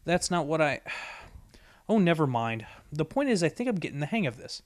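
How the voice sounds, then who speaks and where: A man's voice: a little high, very animated, moderate pace, no room sound, clean studio sound. A man voicing a bookish, cautious female unicorn pony with precise, polite, hesitant speech in a storybook reading. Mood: exasperated. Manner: precise, formal wording with hesitations.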